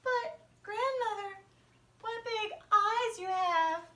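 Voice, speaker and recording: A woman's voice: very high voice, takes it slowly, fairly bright tone, voice pushed up, heavily acted. A woman voicing a sweet, naive little girl in a fairy-tale puppet show. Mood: puzzled. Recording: echoey room, distant from mic.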